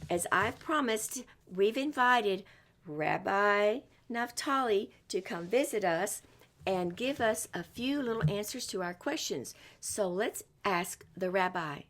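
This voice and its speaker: A woman's voice: mid-range voice, slow pace. Encouraging woman hosting children's story time. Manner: encouraging, expressive, addresses children directly. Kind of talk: interviewing a guest for young children.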